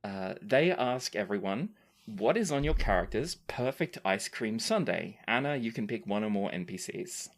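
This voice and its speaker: A woman with an Australian accent hosting a casual Q&A chat, reading out questions and talking in an animated way. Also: rumbling low, normal speed. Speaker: podcast host reading listener questions.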